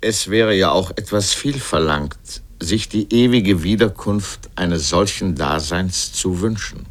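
Male commentator for a radio essay, deep register, dry irony, erudite scripted delivery. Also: slow pace.

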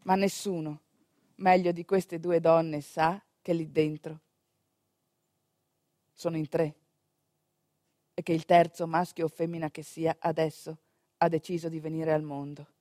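An actress playing an animated village storyteller in a theatrical monologue, talking to the audience conversationally with dramatic pauses. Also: female voice, deep voice, no echo, studio quality. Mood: quiet, suspenseful. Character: animated female village storyteller recounting a home birth.

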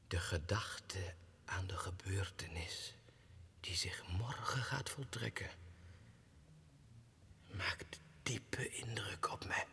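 An actor playing a deranged clerk who believes he is a king, in an erratic radio drama monologue that swings between breathy hushed confiding and agitated outbursts. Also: on the high side, exaggerated voice. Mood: secretive, awed. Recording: reverberant 1960s radio studio recording.

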